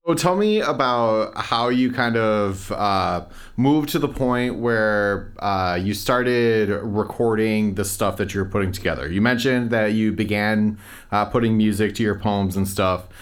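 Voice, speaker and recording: A man's voice: on the low side, a little slow, smooth. A male podcast host asking open, easygoing interview questions.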